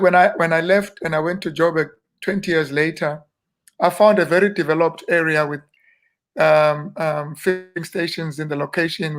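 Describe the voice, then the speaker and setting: A South African man in his fifties talks about the past at an easy, wandering pace. He often stops on fillers and restarts his sentences, and his voice sits a little high for a man. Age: 50s. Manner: unhurried, rambling, with frequent fillers and restarts. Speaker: interview guest, minister. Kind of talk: relaxed reminiscing interview talk.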